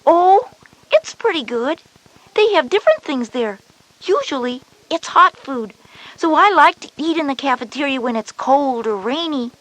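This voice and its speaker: A woman's voice: high-pitched, big pitch swings, moderate pace, fairly bright tone, poor audio. A woman playing a knowing, reassuring older sister of school age in a children's educational audio drama.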